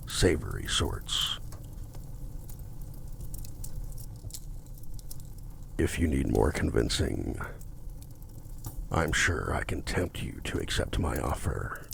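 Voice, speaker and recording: An extremely deep, heavily gravelly male demon, acted by a man for an ASMR roleplay, drawing out his words slowly. He is smug, possessive and menacing, with a formal, seductive way of bargaining. Faint noise sits behind the voice. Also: heavily acted. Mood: smooth, persuasive. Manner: slow, deliberate, formal, domineering. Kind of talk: intimate ASMR roleplay acting.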